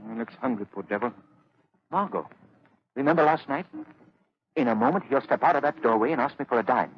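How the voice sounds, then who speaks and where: A man plays a brooding gentleman sleuth in an old radio drama, intense and determined. His mid-pitched voice sits in a muffled recording with light noise. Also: animated, brisk, close to an everyday voice. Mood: grave, urgent. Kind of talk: 1930s radio acting.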